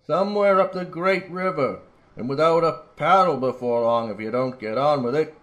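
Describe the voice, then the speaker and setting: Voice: mid-range voice, normal speed, fairly bright tone, natural voice. A child acting a cheeky, impatient, sarcastic character in an amateur fantasy radio play. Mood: impatient sarcasm.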